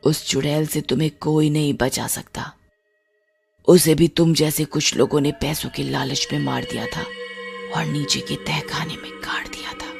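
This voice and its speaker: A woman's voice: low voice, steady tone, measured pace, vocal fry, heavily acted. A woman voicing a stern, solemn female spirit, a dead mother speaking with authority in a horror cartoon. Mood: stern, ominous. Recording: music behind the voice.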